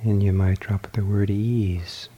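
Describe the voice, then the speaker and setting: A man leading a guided loving-kindness meditation, speaking softly and trailing off into whispers. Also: lowish voice, steady tone, breathy edge, dull audio. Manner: slow, soft, trailing into whispers. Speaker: meditation teacher.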